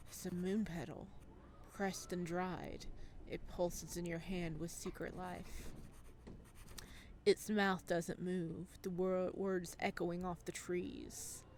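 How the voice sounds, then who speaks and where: A woman reading eerie visual novel narration as an ominous storyteller, hushed and deliberate. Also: fairly low pitch, level tone, a little hiss or hum, voice pushed down. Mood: eerie, tense.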